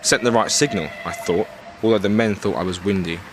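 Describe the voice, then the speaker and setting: An actor playing a blustering, indignant British army captain of the First World War in a period audio drama, with a clipped English accent. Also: male voice, lowish voice, fairly quick, slight background noise, lightly acted. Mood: defensive, forceful.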